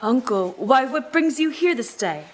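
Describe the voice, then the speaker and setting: A woman's voice: on the high side, big pitch swings, a little slow, slight background noise. A woman playing a polite, puzzled young nephew and new father in a live radio play, speaking hesitantly. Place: live community theatre stage.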